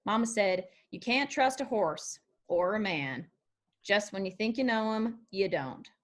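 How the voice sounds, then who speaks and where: A woman's voice: medium pitch, animated, a little slow, close to an everyday voice. A woman playing a young, plainspoken, probing country girl with an old soul in a screenplay table read. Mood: wistful. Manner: plainspoken, folksy, persistent.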